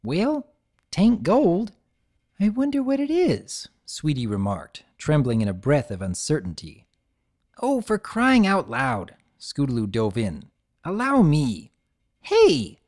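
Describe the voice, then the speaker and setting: Woman narrator, expressive storytelling, low voice, lively intonation. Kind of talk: dramatic reading of a story.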